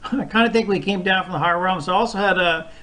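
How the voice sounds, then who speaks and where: High-voiced man hosting a discussion. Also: quick pace, pushed and bright. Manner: rambling, loosely strung thoughts. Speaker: host. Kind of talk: casual discussion.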